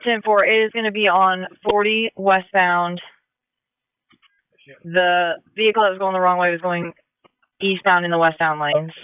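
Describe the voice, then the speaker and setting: A male dispatcher with a high, smooth voice handles emergency radio traffic. He is courteous and procedural. The audio is narrow and muffled, like a two-way radio. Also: fairly even intonation, moderate pace, long pauses, dry recording. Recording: muffled band-limited radio traffic recording.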